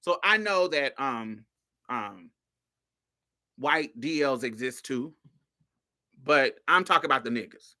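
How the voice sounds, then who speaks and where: A woman's voice: extremely low pitch, lively intonation, takes it slowly, pauses often, sharp tone. A woman hosting a podcast, giving a casual monologue in a sassy, blunt way. She swears freely and laughs often. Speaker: podcast host.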